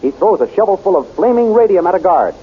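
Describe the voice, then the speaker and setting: A male announcer narrating a radio serial in a dramatic, animated way, on a muffled old radio recording. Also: sits high, quick pace, slightly rough.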